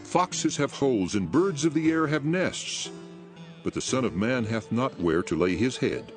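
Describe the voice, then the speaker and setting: An actor playing an earnest, authoritative male teacher in a dramatized scripture reading, solemn and emphatic in his measured declarations. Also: medium pitch, animated, lightly acted. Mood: firm. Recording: music behind the voice.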